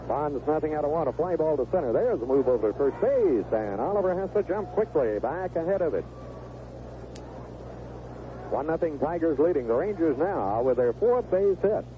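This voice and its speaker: A man calling live baseball play-by-play on the radio as the game's announcer. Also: fairly high pitch. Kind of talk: live sports commentary. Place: stadium broadcast booth. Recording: narrow, noisy 1970s AM radio broadcast.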